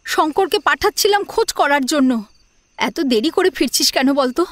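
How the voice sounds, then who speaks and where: A woman's voice: high-pitched, animated, moderate pace, dry recording. A woman playing an anxious, scolding middle-aged mother in an audio drama, talking in a fretful, urgent, questioning way. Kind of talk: Bengali audio drama acting.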